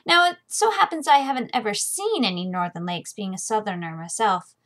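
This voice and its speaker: A woman's voice: mid-range voice, lively intonation, a little fast, a bit bright. An American woman with an informal, conversational way of talking, hosting a book reading video series. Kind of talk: casual chatty vlog, then reading a novel aloud.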